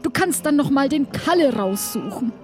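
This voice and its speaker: High-pitched, excitable woman playing a female pirate. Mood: excited, chatty. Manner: theatrical, halting, exclaims often. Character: excitable, flustered female pirate crew member. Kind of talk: improvised audio play acting. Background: background music.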